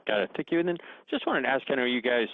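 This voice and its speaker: A man's voice: medium pitch, expressive, racing. A man speaking as a financial analyst, putting questions on an earnings call. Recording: roomless, tinny phone line.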